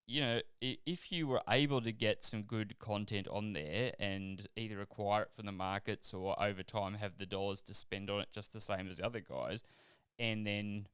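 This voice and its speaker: A man's voice: on the low side, fairly even intonation, normal speed, fairly bright tone, smooth, dry recording, clean studio sound. A man hosting a casual interview, rambling and enthusiastic and laughing often. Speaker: podcast host.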